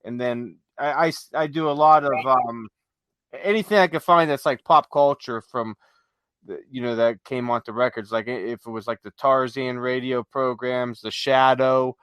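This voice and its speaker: A man co-hosting a casual podcast chat, halting as he searches for his words. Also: medium pitch, fairly bright tone, smooth, no room sound. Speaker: podcast co-host.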